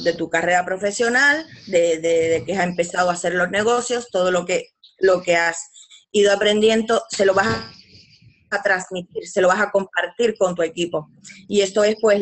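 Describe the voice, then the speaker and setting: A woman, presenting a business webinar over a video call. She reads slides and often hesitates, filling gaps with "pues" and restarting phrases. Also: fairly low pitch.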